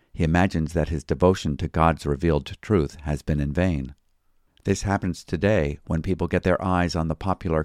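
A man reading a scripted devotional commentary as a pastor, in a measured, formal way. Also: very deep voice, darkish tone, dry recording, clean studio sound. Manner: measured, formal, citing chapter and verse.

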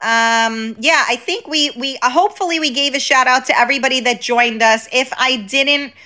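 A woman's voice: high voice, moderate pace, bright, forceful tone. A woman with a New York accent co-hosting a live podcast, talking casually and rambling with plenty of fillers. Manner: chatty, rambling, lots of fillers. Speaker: podcast co-host.

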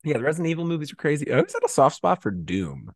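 A man chatting casually in an excitable, effusive way. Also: fairly high pitch, racing, gravelly, dry recording, clean studio sound. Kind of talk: casual chat.

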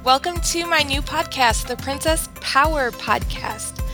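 A woman's voice: sits high, measured pace, music in the background. A young woman hosting a casual podcast, upbeat and chatty with frequent fillers. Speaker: podcast host.

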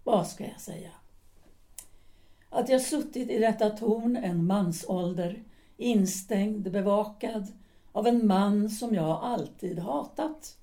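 A bitter middle-aged wife who speaks of her husband with cold, resigned contempt, played by a woman. Her tone is soft and mellow, with her pitch at an ordinary middle height. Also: close to an everyday voice. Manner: cool, flat and resigned, turning cutting. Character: bitter middle-aged wife, former actress, full of hatred. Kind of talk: audio drama acting.

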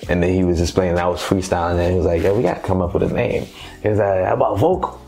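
A man's voice: deep voice, rapid-fire, vocal fry, warm tone, soft background music. A man from Haiti, a native Creole speaker, chatting casually as an interview guest in an easygoing, slangy way, repeating phrases for emphasis. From Haiti, later Boston.

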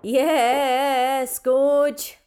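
Casting: woman as patient, dryly wry mother of a big family, fairly high voice, slow delivery, naturalistic audio play. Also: level tone, a little mellow, faint music behind. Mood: patient, gentle. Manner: wry, dry, unhurried. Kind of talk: naturalistic audio drama dialogue.

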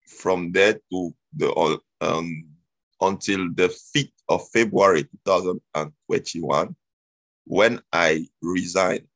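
A man's voice: low voice, vocal fry, fairly bright tone, no echo, rough recording. A man, telling his story as an interview guest, hesitant with many fillers and restarts. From Cameroon.

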